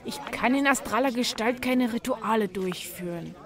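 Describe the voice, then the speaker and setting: An actress playing a thoughtful, wary troll mystic in a German fan audio drama, measured and sceptical. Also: female voice, fairly high pitch, close to an everyday voice.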